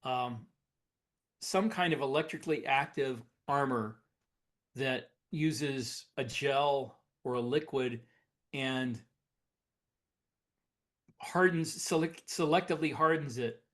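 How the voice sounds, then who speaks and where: A man co-hosting a podcast, explaining things in a casual, measured and somewhat halting way as he discusses technology. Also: medium pitch, fairly bright tone. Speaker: podcast co-host. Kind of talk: casual speculative tech discussion.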